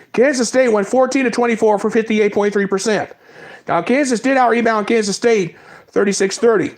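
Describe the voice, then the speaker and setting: A man with a cold giving a casual spoken recap of basketball results, rattling off stats in quick lists. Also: sits high. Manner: reads stats in quick lists, apologizes for mispronouncing names. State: has a cold. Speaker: sports talk host. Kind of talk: casual sports recap monologue.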